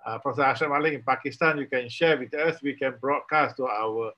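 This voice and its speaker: A man's voice: medium pitch, a little fast, sharp tone. A man with a Malaysian accent moderating a webinar panel on a video call, polite and a little hesitant. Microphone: video call from a laptop. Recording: dull lo-fi call audio.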